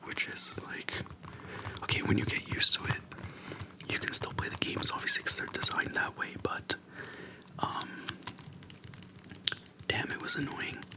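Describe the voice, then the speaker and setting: A person whispering softly into a close microphone in a casual, slangy, unhurried ASMR chat, pausing often between phrases. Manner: soft whispering, unhurried with pauses; informal slangy speech. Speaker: ASMR video creator. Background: soft tapping and clicking.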